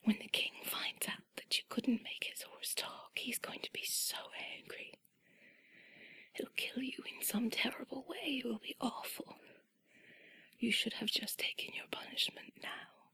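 A woman voicing a jester's worried, scolding male friend in a breathy whisper, fretful, within a bedtime story. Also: a little high, unhurried, pushed and bright, exaggerated voice.

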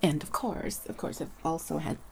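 Woman half whispering, gentle asmr chat, bit stuffy from a cold, lots of fry. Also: low-pitched, moderate pace. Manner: gentle, mixes soft speech with whispers. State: a little congested, fighting a cold. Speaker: voice performer. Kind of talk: soft-spoken ASMR roleplay chat.

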